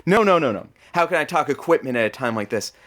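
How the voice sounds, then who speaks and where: Excitable radio producer, swooping pitch. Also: male voice, quick pace. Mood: gushing, teasing. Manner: teasing, gushing, theatrical. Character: excitable, nosy, gear-loving radio show producer sidekick. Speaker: radio producer and call screener. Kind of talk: audio drama banter.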